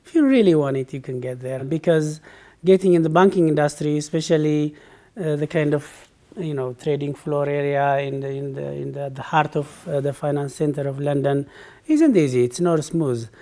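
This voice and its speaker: A man's voice: a little high, normal speed, dark tone. A middle-aged man from Ethiopia, a guest in a conversational interview, who answers thoughtfully with frequent fillers and restarts.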